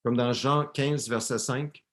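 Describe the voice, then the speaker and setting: A man's voice: medium pitch, fairly even intonation, unhurried, fairly bright tone. A man interpreting a sermon live for a church, often repeating and correcting words as he translates.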